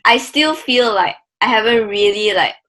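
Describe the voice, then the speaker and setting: A woman's voice: fairly high pitch, normal speed, noisy background. A woman in her twenties with a Malaysian accent, chatting casually on a podcast with lots of filler words. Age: 20s. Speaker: podcast co-host.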